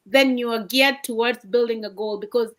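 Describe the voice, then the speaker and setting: A woman's voice: a little high, steady tone, normal speed. A woman from Kenya hosting a livestream chat over a video call, upbeat and welcoming as she hands over to guests. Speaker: livestream host. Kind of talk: motivational livestream talk. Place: home, indoors at night.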